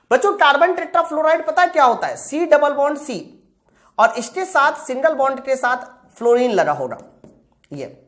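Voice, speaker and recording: A woman teaches an exam-prep class in a high, very lively voice. She talks straight to her students, repeats key points and often poses a question before answering it herself. Her pitch swings widely as she explains. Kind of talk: live lesson working through practice questions.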